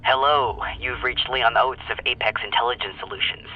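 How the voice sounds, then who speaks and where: An actor playing a cocky, sardonic private detective with a dry manner in an audio drama. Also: male voice, medium pitch, fairly even intonation, normal speed, highs cut off, noisy background, natural voice. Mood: businesslike. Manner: dry, wisecracking. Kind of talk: naturalistic audio drama dialogue.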